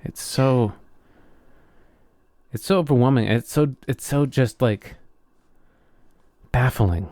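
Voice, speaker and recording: A man chatting casually and reflectively as a podcast co-host, often halting as he searches for words. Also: mid-range voice, a little mellow, no room sound, studio quality.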